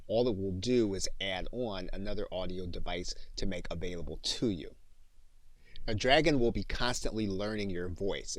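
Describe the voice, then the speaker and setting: Low-voiced man giving a software tutorial. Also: dry recording. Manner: clear, methodical, instructional. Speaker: software training instructor. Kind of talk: step-by-step tutorial narration.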